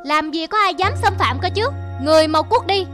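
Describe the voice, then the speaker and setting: A woman's voice: extremely high pitch, brisk, fairly bright tone. A woman voicing a greedy, boastful monkey in a children's cartoon, with a haughty, blustering manner. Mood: bossy, angry. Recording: music behind the voice.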